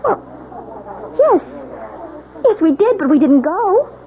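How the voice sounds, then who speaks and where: A woman's voice: extremely high pitch, very animated, full character voice. An actress playing an anxious newlywed wife who grows fearful of her husband, speaking hesitantly and with distress in a radio drama. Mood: defensive. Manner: hesitant, distressed, halting repetitions. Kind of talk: 1970s radio drama acting. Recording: dull old radio drama recording, music behind.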